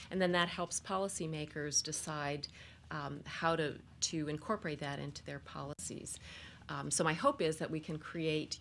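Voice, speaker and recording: A woman on a panel at a press event, her voice very low. She speaks haltingly, with many pauses and fillers. Faint noise sits behind her. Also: fairly bright tone. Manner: hesitant, with many fillers and restarts. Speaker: panelist, coastal program official. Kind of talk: panel discussion remarks. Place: conference room at a press event.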